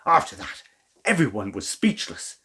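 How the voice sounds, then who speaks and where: A man reading a children's story in an expressive, theatrical way. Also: fairly low pitch, normal speed, breathy edge.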